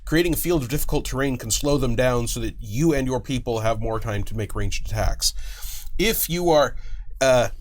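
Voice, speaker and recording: A man's voice: medium pitch, moderate pace. A middle-aged man giving methodical explanations in an interview, working through hypothetical examples. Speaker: game designer, guest. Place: small curtained studio, indoors.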